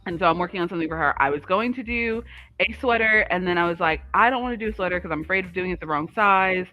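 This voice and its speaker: A woman's voice: on the low side, quick pace, dull audio, soft background music. A woman co-hosting a livestream, chatting casually about crafts in a rambling, easily sidetracked way. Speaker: livestream co-host.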